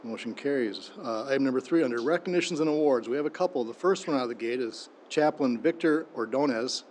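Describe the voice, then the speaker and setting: A man, the mayor, chairing a city council meeting in a brisk, procedural way. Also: mid-range voice, a little mellow, a little hiss or hum. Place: council chamber.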